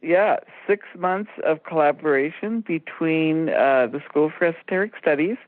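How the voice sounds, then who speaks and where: Female radio co-host, low-pitched for a woman, measured and explanatory, heard down a narrow phone line. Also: no echo. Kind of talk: conversational explanatory talk radio.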